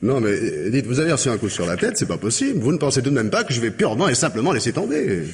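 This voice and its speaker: An actor playing a stubborn police inspector in a 1950s-style French radio drama, blunt and firm. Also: male voice, medium pitch, very animated, very fast, slightly rough, full character voice. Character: stubborn, dry-witted male police inspector.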